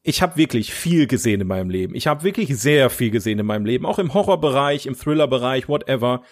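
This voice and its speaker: A man's voice: medium pitch, expressive, fast. A young man with a German accent chatting casually as a podcast host, enthusiastic, colloquial and free with swearing. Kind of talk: casual chat about films and series.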